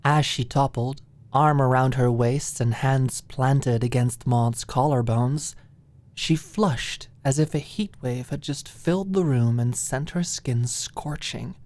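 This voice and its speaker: A woman narrating a scripted audiobook in ASMR style, with soft phrases that drop into a hush. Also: rumbling low, slow pace.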